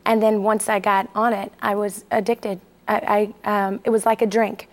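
A woman's voice: mid-range voice, little pitch movement, moderate pace. A woman in her forties from Texas, telling her personal story candidly and hesitantly as an interview guest. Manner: candid, hesitant, with many fillers.

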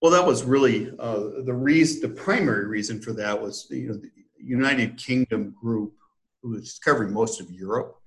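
A man's voice: mid-range voice, normal speed. A man, a sales director, explaining plans in an interview, hesitant with many fillers. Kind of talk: conversational business interview.

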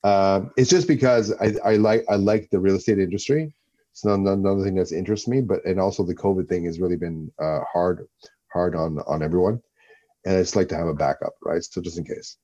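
Brief: low, mellow-toned man, interview guest, loose and rambling, slightly dull audio. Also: steady tone, a little fast. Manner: rambling, repeats himself while thinking. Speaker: guest, actor and former fighter. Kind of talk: relaxed interview talk.

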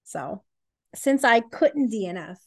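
A woman's voice: on the high side, animated, slow. A woman co-hosting a podcast in casual chat, with a blunt, joking manner. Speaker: podcast co-host.

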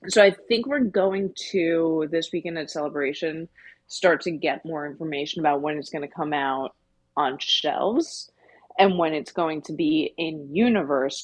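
A woman's voice: deep voice, no echo, low-quality recording. A woman co-hosting a podcast, explaining things casually in long winding sentences. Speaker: podcast co-host.

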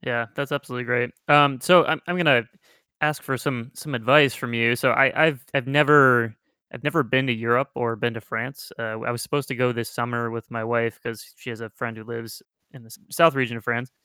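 Bright, smooth-voiced young man host. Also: mid-range voice, fairly even intonation, brisk, no echo, clean studio sound. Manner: easygoing, chatty, laughs often. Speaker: podcast host. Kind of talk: casual interview.

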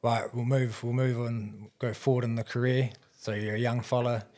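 A man's voice: mid-range voice, little pitch movement, normal speed, a little mellow. A man with a New Zealand accent hosting a podcast, talking in a blokey, teasing way and swearing casually. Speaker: podcast host. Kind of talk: casual chat.

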